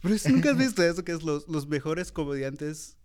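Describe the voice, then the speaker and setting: A man's voice: a little high, sing-song, brisk, a little mellow. A man co-hosting a casual podcast chat, easygoing and agreeable, often chiming in. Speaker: podcast co-host.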